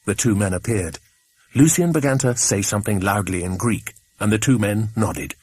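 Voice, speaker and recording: A man's voice: on the low side, moderate pace, a little mellow, breathy edge. A man with an English accent reading a children's adventure story as an audiobook narrator.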